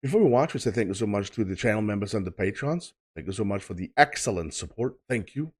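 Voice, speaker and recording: Brief: young Swedish man, low-pitched, relaxed filler-heavy reaction host. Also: quick pace, husky. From Sweden. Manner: chatty, loose, filler-heavy, repeats "of course". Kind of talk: casual reaction commentary.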